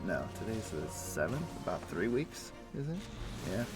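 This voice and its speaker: A man's voice: lowish voice, very animated, moderate pace. A young man streaming a game from home, chatting casually with many fillers and restarts. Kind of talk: casual gameplay chat. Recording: music playing behind voice. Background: music.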